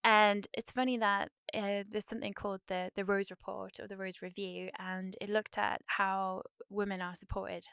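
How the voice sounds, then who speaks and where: Woman in her 30s, podcast host, smooth clear voice, chatty with fillers, dry studio mic. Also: medium pitch, flat delivery, moderate pace, hardly any pauses, no echo. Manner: warm, filler-heavy, chatty. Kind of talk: casual podcast chat with scripted intro.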